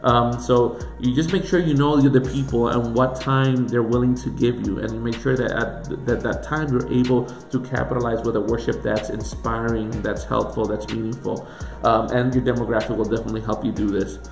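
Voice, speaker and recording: A pastor with a Puerto Rican accent teaches in an earnest, emphatic way, working through one question after another. His voice sits at an ordinary middle pitch for a man. It is recorded in an echoey room with soft music underneath. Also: fairly even intonation, fairly quick, gravelly. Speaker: pastor and church planter. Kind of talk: teaching talk.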